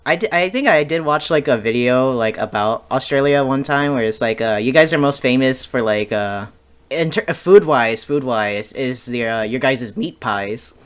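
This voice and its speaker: A man in casual conversation as a podcast host. His pitch sits in the middle, and he talks loosely, with plenty of fillers and a joking tone. The sound is a voice chat recording. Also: normal speed, fairly bright tone, clear, resonant tone. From United States. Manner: laid-back, joking, lots of fillers and laughter.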